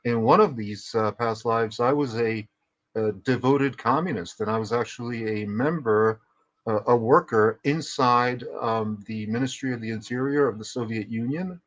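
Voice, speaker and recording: A man's voice: mid-range voice, moderate pace, a bit bright, lo-fi sound. Methodical Czech-accented man in his fifties. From Czech Republic. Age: 50s. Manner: precise, methodical storytelling. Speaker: co-host. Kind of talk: livestream conversation.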